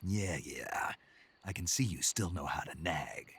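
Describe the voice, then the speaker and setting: An actor playing a gruff, wry special forces soldier in a video game, terse and teasing, with a gravelly voice. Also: male voice, low-pitched, animated, a little slow, a bit bright, exaggerated voice. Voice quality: gravelly, husky.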